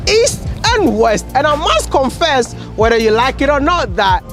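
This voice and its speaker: The excited woman you'd hear presenting a travel video, voice swinging up high while the soundtrack plays along. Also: measured pace, faint music behind. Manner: enthusiastic, exclamatory. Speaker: travel vlog host. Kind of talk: casual travel vlogging.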